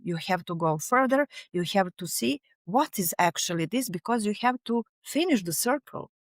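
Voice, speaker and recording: A woman's voice: mid-range voice, normal speed, no echo. Serbian-accented woman, dry close sound. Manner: animated, searching for words, frequent "you know". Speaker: guest, fascia researcher and author. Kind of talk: conversational interview.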